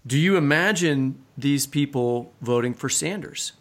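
Male podcast host, American, average pitch, conversational and somewhat halting delivery. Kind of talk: conversational interview.

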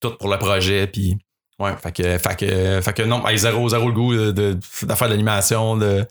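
A man's voice: lowish voice, flat delivery, normal speed. A young man with a Québécois accent, a radio writer chatting as a podcast guest, rambling with many fillers and restarts. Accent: Québécois French. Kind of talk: casual podcast chat.

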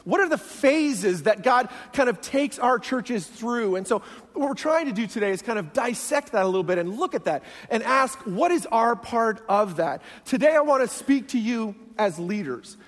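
A man's voice: very high-pitched, expressive, normal speed. A man with a Canadian accent, preaching as a guest pastor in a self-deprecating, joking way. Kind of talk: humorous sermon. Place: large church sanctuary. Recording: long church hall reverb.

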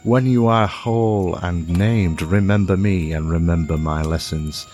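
A man voicing a proud, wise, tiny winged insect who instructs in a grand, slow storybook manner. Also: low voice, darkish tone, a little hiss or hum. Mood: solemn, instructive.

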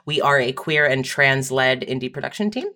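Woman, late 20s, low-pitched, casual conversational guest. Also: expressive, normal speed, sharp tone. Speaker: guest, audio fiction writer and producer. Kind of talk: casual chat, plugging social links.

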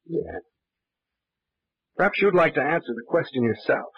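A muffled vintage radio recording of a shrewd young male investigator. He is husky and terse, questioning and giving orders with dry sarcasm, in old-time mystery drama style. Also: on the high side, lively intonation, normal speed. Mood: probing, dry. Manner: terse, probing, dryly sardonic.

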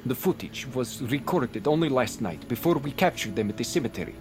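An actor playing an imperious, slippery professor in an audio drama, smug and demanding. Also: male voice, medium pitch, animated, fairly quick, over music, close to an everyday voice. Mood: agitated, incredulous. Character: imperious, slippery male professor commanding a military operation.

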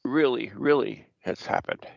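A man's voice: medium pitch, a little slow, gravelly, no room sound. A man hosting a casual radio show, chatty and rambling with fillers. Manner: lively, chatty, trails off with fillers. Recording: dull lo-fi dry audio.

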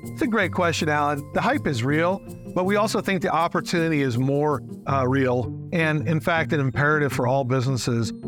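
A man delivers a smooth, prepared-sounding corporate answer in a sponsor segment. His voice is a touch higher than most men's. A clear music bed runs underneath him. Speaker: sponsor executive.

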